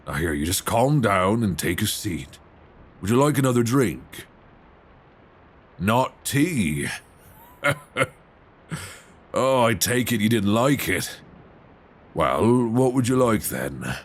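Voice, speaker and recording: Old lighthouse keeper, male actor, horror audio drama: low, swinging intonation, folksy, weary and sly, music underneath. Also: very animated, slow, pauses often, slightly rough, exaggerated voice. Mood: kindly, coaxing. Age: older. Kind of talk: suspense horror audio roleplay acting. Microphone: close binaural microphone.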